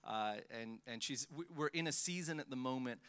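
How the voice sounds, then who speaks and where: A man in his thirties preaching in a bright, clear voice. He tells stories about himself with easy humor, and stumbles through many fillers and restarts. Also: medium pitch, normal speed. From born in the Philippines, raised in Australia. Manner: self-deprecating, joking, many fillers and restarts. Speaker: pastor. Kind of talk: guest sermon, personal storytelling.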